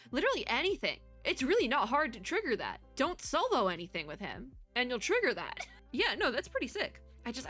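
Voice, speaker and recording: A woman's voice: medium pitch, bright, forceful tone. A woman hosting a casual game reaction video as a VTuber, chatty and gushing, rambling off into slangy asides.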